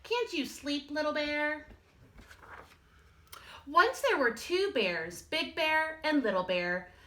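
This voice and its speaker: A woman with a bright, slightly high voice reads to young children like a primary school teacher. Her pitch moves up and down a great deal. The delivery is expressive storybook narration aimed straight at the listeners. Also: slow pace, slight background noise. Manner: expressive, addressing children directly. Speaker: first-grade teacher.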